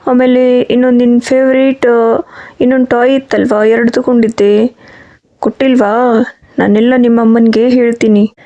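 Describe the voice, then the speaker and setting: Woman as soothing dad, children's cartoon story, mellow timbre, gentle, coaxing, explanatory. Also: on the high side, muffled recording, close to an everyday voice. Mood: reassuring. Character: patient, soothing father of a young schoolgirl.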